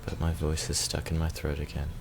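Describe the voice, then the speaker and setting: Male reader, extremely low and creaky, subdued measured narration for a visual novel. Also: completely flat delivery, over music. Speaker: let's player reading a visual novel. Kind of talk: reading narration aloud.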